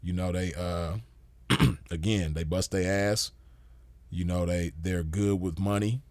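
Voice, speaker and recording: A man's voice: low-pitched, steady tone, slow pace, darkish tone, dry recording. A man giving a casual commentary monologue as host, laid-back and full of "you know" fillers. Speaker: podcast host.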